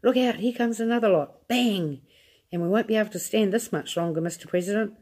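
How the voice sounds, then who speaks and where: A woman voicing a panicking male astronaut shouting in a read-aloud storybook. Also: medium pitch, a little fast, pitched above the performer's own voice, natural voice. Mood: panicked.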